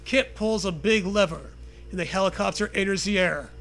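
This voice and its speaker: Man, high gravelly voice, unhurried, crude joking banter, comic storybook narration. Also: fairly bright tone. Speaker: co-host reading a comic story. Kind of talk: comedic read-aloud with casual banter.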